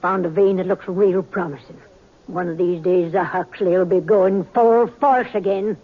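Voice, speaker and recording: A woman's voice: lowish voice, steady tone, moderate pace, natural voice. An American actress playing a feisty, stubborn old woman silver miner in a 1950s western radio drama, with blunt, folksy speech. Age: older. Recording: muffled narrow-band 1950s radio recording.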